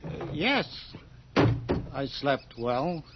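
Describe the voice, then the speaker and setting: An actor playing a weary, brooding old farmer in a radio drama, speaking in a halting, old-fashioned formal way. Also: male voice, mid-range voice, sing-song. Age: older. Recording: dull, noisy radio drama recording.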